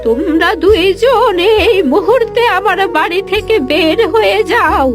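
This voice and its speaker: Woman acting bitter old mother, very high-pitched. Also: music in the background. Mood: angry, ordering. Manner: scornful, reproachful, slow emphatic delivery. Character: bitter, betrayed old village mother, proud and scolding. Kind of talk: Bengali cartoon moral-story acting.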